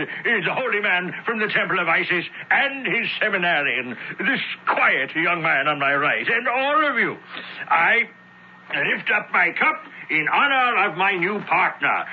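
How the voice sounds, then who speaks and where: High, fluttery, effusive Roman host. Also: male voice, slightly rough, exaggerated voice. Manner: stammering, effusive. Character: fussy, hospitable middle-aged wealthy Roman father, former shipowner. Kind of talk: old-time radio drama acting. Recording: muffled old radio recording.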